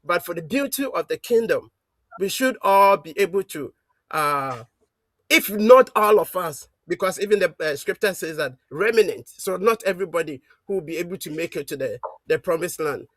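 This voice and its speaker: A high-pitched man speaks on a call-in show in a halting, sermon-like way, stopping often to search for words. His voice is dry and close. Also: animated, no room sound. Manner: halting, with many fillers and restarts. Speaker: caller. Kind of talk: preaching-style call-in remarks.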